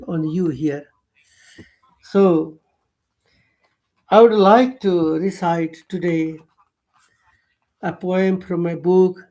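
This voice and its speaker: An older man, a guest poet speaking slowly and earnestly over a video call, pausing and correcting himself as he goes. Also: high-pitched, creaky, darkish tone, low-quality recording. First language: Nepali. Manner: slow, halting and earnest, with pauses and self-corrections. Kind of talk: remarks at an online poetry recital.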